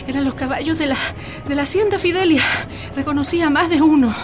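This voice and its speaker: A woman's voice: high voice, fairly quick, darkish tone, a little hiss or hum, natural voice. A woman playing a protective, pleading mother and landowner's wife in a radio drama. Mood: shaken.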